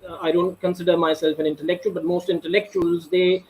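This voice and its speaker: A man's voice: high voice, level tone, fast, a little mellow, rough recording. A man answering as a panel guest, ornate and hesitant, with many restarts and fillers.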